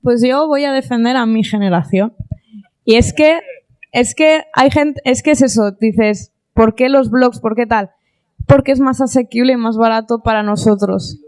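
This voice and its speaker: A young woman from Spain in her twenties, speaking as a guest in a panel debate, animated and chatty with lots of fillers and quoted asides. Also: fairly high pitch, moderate pace, no room sound. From Gandía, Spain.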